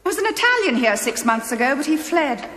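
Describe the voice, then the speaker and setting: An actress playing an imperious, middle-aged aristocratic English widow in a television play, with an upper-class accent and clipped, fretful delivery. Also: female voice, high-pitched, very animated, a little fast, a bit bright. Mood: haughty, fretful. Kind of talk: theatrical television play dialogue. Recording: echoey stone hall, faint noise.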